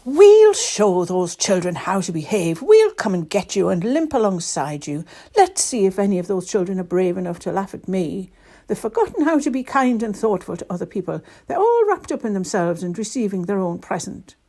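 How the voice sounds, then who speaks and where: Woman acting a bustling Scottish storybook woman, blunt, kind-hearted, dramatic, raised lively voice. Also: high-pitched, unhurried, hardly any pauses, voice pushed up. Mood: indignant. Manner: exclaiming, dramatic.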